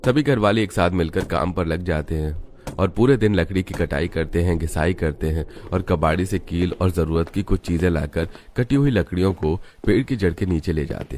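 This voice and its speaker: Low-pitched man narrating a story. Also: darkish tone, slight background noise. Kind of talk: scripted Hindi story narration.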